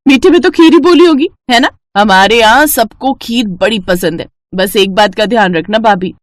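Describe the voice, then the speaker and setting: A woman's voice: on the high side, moderate pace, dry recording, clean studio sound, lightly acted. A woman voicing a playful young sister-in-law in a family storybook tale, chirpy, informal and teasing. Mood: chirpy, curious. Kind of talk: acted Hindi family storybook dialogue.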